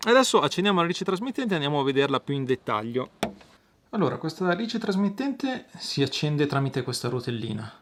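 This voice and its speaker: A man giving an informal product review, explaining step by step with hesitant pauses. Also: on the high side, very animated, slight background noise.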